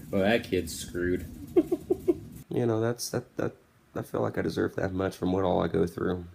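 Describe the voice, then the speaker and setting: A man's voice: sits low, fairly even intonation, a little slow, creaky, a little mellow, a little hiss or hum. A man in his twenties giving a candid, blunt, casual motivational monologue. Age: 20s. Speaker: podcast host. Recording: music playing behind the voice. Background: background music.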